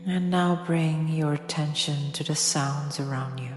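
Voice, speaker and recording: Low-voiced woman guiding meditation in long reverb. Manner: soft, soothing, unhurried instruction with long pauses. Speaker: guided meditation teacher. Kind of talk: guided yoga nidra meditation. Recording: echoey long reverb, music behind.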